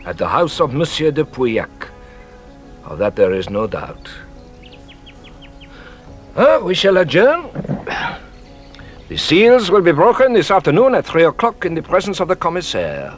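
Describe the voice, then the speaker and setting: Shrewd detective, radio drama, higher-pitched, hugely animated intonation, theatrical and probing, music underneath. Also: male voice, unhurried, pauses often. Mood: genial, expansive. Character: shrewd, theatrical French male police inspector. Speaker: actor playing a detective inspector.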